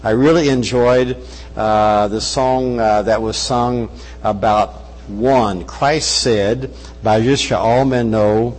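A man's voice: medium pitch, steady tone, takes it slowly, a little mellow, long reverb tail, low-quality recording. An older man with a Kentucky accent, raised in Brazil, preaching as a guest missionary in a church on a wireless mic, folksy with joking asides. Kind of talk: sermon. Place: church service.

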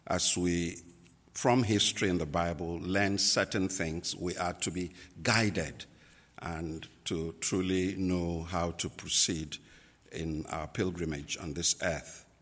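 Nigerian preacher guy, deep husky voice, slow n careful with lots of uh pauses, big echoey church hall. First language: Igbo. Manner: slow and deliberate, with many pauses and fillers. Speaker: pastor. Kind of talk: sermon.

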